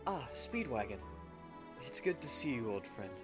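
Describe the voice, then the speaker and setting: A man voicing a tender, courteous young gentleman groom who speaks formally in an anime fan ASMR roleplay. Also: medium pitch, sing-song, moderate pace, over music, pitched above the performer's own voice, natural voice. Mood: polite, earnest. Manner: formal, romantic, courteous speech.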